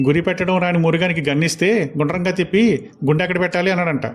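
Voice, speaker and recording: A man acts a mocking Tamil soldier for a comedy cartoon. His delivery is quick and blunt, in a slightly high voice. There is clear noise behind it. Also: steady tone, natural voice. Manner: teasing, sarcastic. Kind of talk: comic cartoon dialogue.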